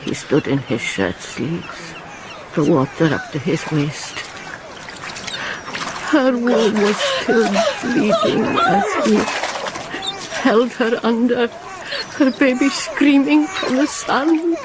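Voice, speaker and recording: An actress playing an old Welsh village woman who clings to the old magics, telling a dark tale in a radio drama. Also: fairly high pitch, wildly swooping pitch, heavily acted. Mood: anguished. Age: older. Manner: slow, incantatory. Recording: noisy ambience behind the voice.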